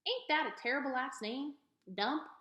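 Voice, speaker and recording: Woman reader as welcoming old country granny, storybook character, folksy, bright tone, roomy sound. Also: sits high, normal speed, muffled recording, pitched above the performer's own voice. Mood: amused. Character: old toothless Southern woman, kindly, amused, welcoming.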